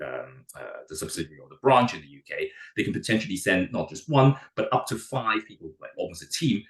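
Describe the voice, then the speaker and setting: A hoarse man with a cold explains visa routes at a rapid clip. His voice sits very low, and he stumbles over repeated words. The room around him echoes. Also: a bit bright. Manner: fast, with many repeated words and restarts. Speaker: immigration adviser. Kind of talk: webinar presentation.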